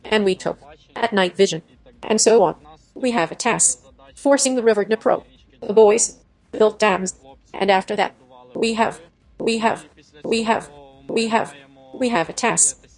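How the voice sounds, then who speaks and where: A woman's voice: medium pitch, a little slow, long pauses, poor audio. Synthetic woman's dubbing voice. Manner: even, stiff phrasing with odd word choices. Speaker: AI dub voice for every speaker. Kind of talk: machine-translated voice-over dub.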